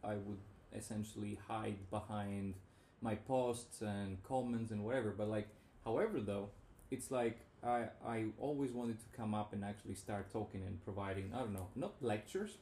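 A man's voice: fairly low pitch, steady tone, normal speed, warm tone. A man hosting a casual podcast chat, rambling and frank, often restarting his sentences. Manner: rambling, self-interrupting, frank. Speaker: podcast host. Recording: roomy with faint background noise.

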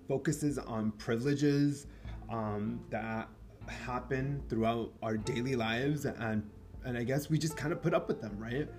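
A man from Mexico whose first language is Spanish talks casually about movies at an ordinary mid pitch. He rambles, trips over restarts, fills gaps with ums and lets a swear word slip now and then. Faint music plays underneath. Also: darkish tone. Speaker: podcast host. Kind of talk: casual film review chat.

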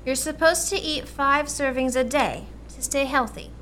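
Woman playing a high-voiced, patient busy mom. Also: a bit bright. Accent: American. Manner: brisk, motherly. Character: busy, patient mother, middle-aged, gently coaxing. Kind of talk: radio play acting.

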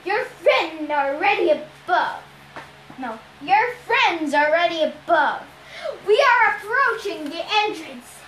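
Child doing a gravelly, commanding ghost captain. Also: extremely high pitch, big pitch swings, slow, a bit bright, full character voice. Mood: barking orders. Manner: barking orders. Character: gruff, commanding skeletal ghost sea captain. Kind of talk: storybook character voice. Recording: roomy echo, background noise.

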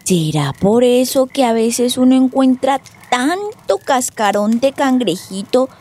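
A woman's voice: a little high, lively intonation, takes it slowly, darkish tone. A woman playing an inquisitive young Colombian granddaughter listening to scary tales in a radio drama. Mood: curious, spooked. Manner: inquisitive, childlike.

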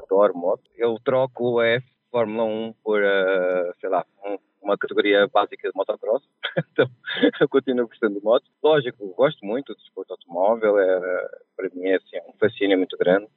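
A man from Portugal with a Portuguese accent softened by Brazilian speech, telling stories with a laugh as a podcast guest. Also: fairly low pitch, a little fast, no room sound. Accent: Portuguese, softened by years in Brazil. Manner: chatty, laughs at his own mishaps. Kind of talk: casual storytelling. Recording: tinny phone line.

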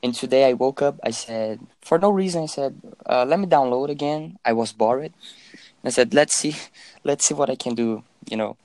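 Man, 18, from Brazil, native Portuguese speaker, halting casual chat, dry sound. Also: medium pitch, a little mellow, dry recording. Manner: halting, searching for words.

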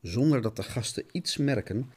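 A man's voice: medium pitch, lively intonation, moderate pace, a little mellow, slightly rough. A man playing an emphatic, announcer-like narrator of a pulpy comic-strip radio play. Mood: dramatic, announcing.

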